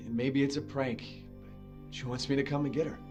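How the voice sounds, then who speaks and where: A man's voice: mid-range voice, fairly even intonation, brisk, lightly acted. Man acting a hesitant, shaken young husband over music. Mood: shaken, urgent. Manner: hesitant, repeating himself. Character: shaken, determined husband seeking his missing wife. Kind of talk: naturalistic video game cutscene acting. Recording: game audio with guitar music behind.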